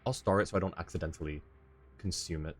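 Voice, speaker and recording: A man's voice: low-pitched, fast. A man streaming a game live, casually thinking aloud as he reads what is on screen and in chat. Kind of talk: casual live gaming commentary. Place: indoor live stream setup. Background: faint game music.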